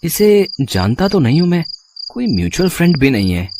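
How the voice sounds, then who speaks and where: A man acts out a married man who is curious and easily flustered, in story dialogue. His delivery is hesitant and animated, moving widely in pitch. There is faint noise in the recording. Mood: puzzled. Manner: halting, bashful, with stammers. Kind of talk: dramatized audio story dialogue.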